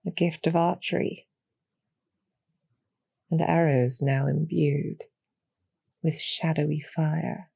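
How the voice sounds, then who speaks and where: Woman doing a demon succubus lady, calm n knowing, breathy gravelly low voice, really slow and softly persuasive, intimate roleplay audio. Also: no room sound, highs cut off, full character voice. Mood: reflective, composed. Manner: measured, deliberate, softly persuasive. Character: calm, knowing demoness succubus archer, former hero, loyal to her queen.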